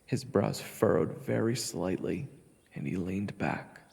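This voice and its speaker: A man's voice: deep voice, slow pace, gravelly, darkish tone, big-room sound, a little hiss or hum. A man narrating a first-person fantasy audio drama, telling the story as one of its characters.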